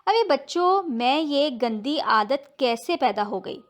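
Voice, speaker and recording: A disappointed old grandmother from a moral storybook, played by a woman narrator. She admonishes and instructs children in a raised, fairly high voice. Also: lively intonation, slow.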